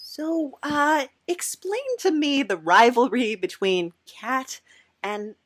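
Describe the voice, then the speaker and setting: Brief: female podcast host, slightly high voice, slow and hesitant, casual comedic interviewing, dry close sound. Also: lively intonation, dry recording. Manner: halting, hesitant, stammering.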